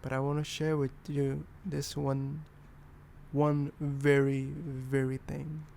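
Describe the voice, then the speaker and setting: A young man from Indonesia with an altered British accent, talking softly and rambling in a boyfriend ASMR roleplay. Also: fairly high pitch, little pitch movement, slow pace, vocal fry, a little hiss or hum. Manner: soft, rambling, self-deprecating.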